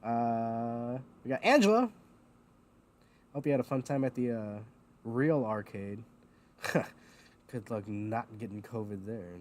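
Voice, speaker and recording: A man's voice: mid-range voice, slow pace, long pauses, a little mellow. Rambling man hosting a livestream. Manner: rambling, many ums and uhs, laughs at himself. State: a bit loopy and foggy. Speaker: host. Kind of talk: casual livestream chat.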